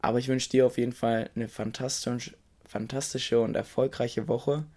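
A young man hosting a podcast, slightly hoarse from a cold, recommending a book in a casual, enthusiastic way and talking straight to the listener. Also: medium pitch, level tone, moderate pace, darkish tone. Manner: enthusiastic, informal, addresses the listener directly. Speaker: podcast host.

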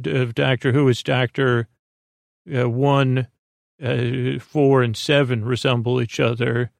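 A man hosting a bedtime podcast in a meandering, halting, goofy monologue meant to lull listeners to sleep, with a gravelly voice. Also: mid-range voice, no echo, studio quality. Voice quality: gravelly, creaky. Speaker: podcast host.

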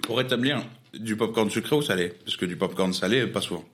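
A man's voice: lowish voice, brisk, a bit bright. A man, a podcast co-host, in casual drinking chat, joking and mocking his friends.

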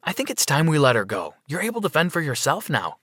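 A man's voice: on the high side, huge pitch swings, fast, a bit bright, slightly rough, no echo, studio quality, pitched above the performer's own voice, heavily acted. A man voicing a practical, fretful middle-aged mother in a narrated story, insistent and questioning. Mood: firm, worried.

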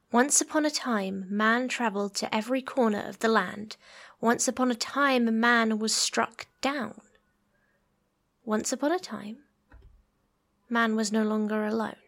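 A woman delivering solemn, scripted mythic narration in a measured, incantatory manner. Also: fairly high pitch, fairly bright tone. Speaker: prologue narrator.

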